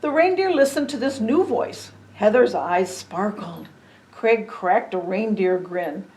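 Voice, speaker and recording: Woman doing storytime for kids, medium pitch, expressive and a bit dramatic with the reading. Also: measured pace. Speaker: storybook reader. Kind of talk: children's story read-aloud with chatty asides.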